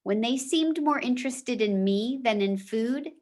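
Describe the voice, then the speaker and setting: A woman teacher reading a children's book aloud in a measured, deliberate storybook manner, with short explanatory asides. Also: a little high, expressive, darkish tone.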